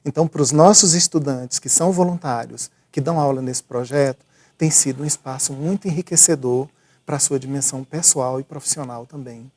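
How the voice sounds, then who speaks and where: A man from Brazil, an academic coordinator, explaining a university project as a guest in a measured way. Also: medium pitch, a little mellow. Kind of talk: casual interview talk.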